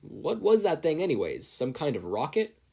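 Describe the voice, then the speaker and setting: A man's voice: fairly high pitch, wildly swooping pitch, moderate pace, vocal fry, warm tone, slightly rough, voice pushed up, exaggerated voice. A man voicing a hearty, earnest older hero and kindly mentor, speaking in an emphatic, encouraging way for an audiobook. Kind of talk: audiobook character voice.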